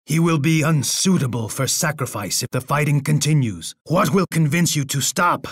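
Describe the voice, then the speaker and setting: An actor playing a proud, bloodthirsty and unhinged Aztec emperor in a video game, with a husky voice and a pompous manner. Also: male voice, fairly high pitch, normal speed, no echo, studio quality. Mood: gloating, grandiose. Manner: pompous, speaks of himself in third person. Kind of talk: video game character voice lines.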